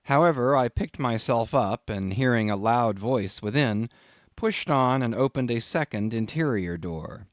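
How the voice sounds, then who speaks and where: A man reading a literary audiobook as scripted narration, in an expressive, measured storytelling manner. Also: mid-range voice, no echo, clean studio sound.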